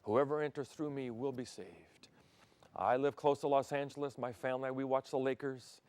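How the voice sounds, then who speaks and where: An older man raised in Thailand, a pastor delivering a televised sermon from a studio set on a lapel mic, swinging between loud emphasis and soft, intimate passages. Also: medium pitch, fairly even intonation, normal speed.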